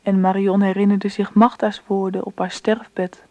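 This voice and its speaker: A woman's voice: medium pitch, little pitch movement, unhurried, darkish tone, lo-fi sound, close to an everyday voice. An actress playing a reflective grown daughter who narrates her childhood memories in a radio drama. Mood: wistful.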